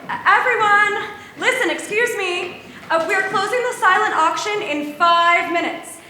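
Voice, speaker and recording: A woman's voice: very high-pitched, a little slow, pushed and bright, a little hiss or hum, heavily acted. An actress playing a harried woman who runs a food festival, hesitant and full of fillers, in a staged reading. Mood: announcing. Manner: flustered, hesitant with many fillers. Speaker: festival organizer. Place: theater stage. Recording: roomy live stage sound.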